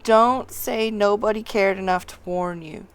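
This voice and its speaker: A woman's voice: medium pitch, fairly even intonation, a little slow, clean tone, close to an everyday voice. A woman reading a fantasy game quest-giver in character, folksy and helpful, with colloquial, old-fashioned turns of phrase. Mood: folksy, advising.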